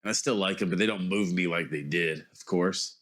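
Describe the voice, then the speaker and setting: A man's voice: low voice, a little fast, fairly bright tone. A man in his forties, mildly congested, giving a casual, rambling vlog monologue in which he hesitates, corrects himself and circles around his point. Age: 40s. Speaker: vlogger.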